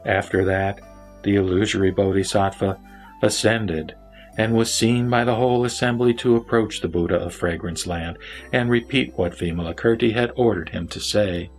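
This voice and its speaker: Low-voiced man solemnly narrating scripture. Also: low-quality recording. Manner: formal, measured, solemn recitation. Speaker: narrator and reciter of a sutra. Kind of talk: scripted narration of a Buddhist scripture audio drama. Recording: voice over background music. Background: music.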